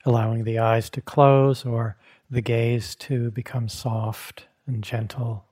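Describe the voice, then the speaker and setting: A man leading a guided meditation as a teacher, speaking gently in short phrases with long, unhurried pauses and a soft, husky tone. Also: mid-range voice, little pitch movement. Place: meditation hall at a retreat.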